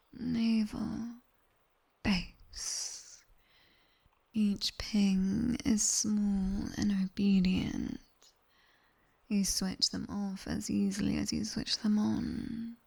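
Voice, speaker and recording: A British woman voicing a sharp-tongued nightmare demoness tutor in a soft, breathy ASMR whisper, measured and gently commanding as she guides relaxation. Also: medium pitch, full character voice.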